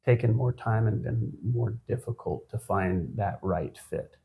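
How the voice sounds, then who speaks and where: A man in his fifties, a guest on a business podcast, answering in a measured, reflective way with frequent pauses and restarts. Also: fairly low pitch, creaky, warm tone. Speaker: guest, managing partner of an accounting firm. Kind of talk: conversational podcast interview.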